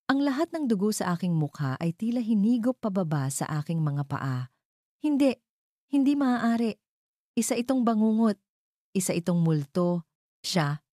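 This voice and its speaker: A woman narrating a dramatic scripted story in the first person, in measured, deliberate short phrases. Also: low voice, warm tone, dry recording, studio quality.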